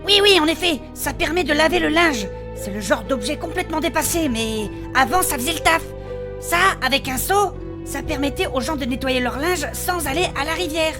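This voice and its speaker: Woman doing a sensible bossy-ish fantasy party member, high voice, firm and articulate, music in back. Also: animated, moderate pace. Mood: explanatory. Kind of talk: comic fantasy audio drama acting.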